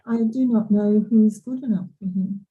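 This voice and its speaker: A woman's voice: mid-range voice, moderate pace, husky, narrow, tinny audio, close to an everyday voice. A woman playing a proud, devoted estate housekeeper in a period drama reading, earnest and deferential. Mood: fond pride.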